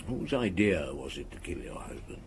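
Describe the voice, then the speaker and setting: Radio drama lead: middle-aged police chief, low voice, measured, probing yet compassionate, faint ambience behind. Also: male voice, very animated, slight background noise. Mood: quiet, sympathetic. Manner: measured, probing, quietly sympathetic.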